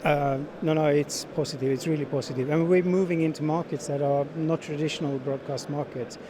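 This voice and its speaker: A middle-aged man giving unscripted interview answers as a sales manager, speaking in halting phrases with frequent repeats. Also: fairly high pitch, steady tone. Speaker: sales manager being interviewed at a trade show. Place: busy exhibition hall. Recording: busy trade show crowd noise.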